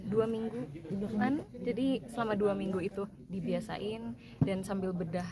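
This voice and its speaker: A woman, an actress, talking casually with the press, relaxed and chatty with many small fillers. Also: mid-range voice, a little slow. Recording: loud crowd noise behind.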